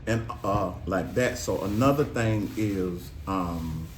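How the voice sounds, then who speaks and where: A middle-aged father from Carolina, telling family stories in a slow, easygoing, preacherly way. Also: low voice. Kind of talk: casual chat. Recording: echoey room, faint noise.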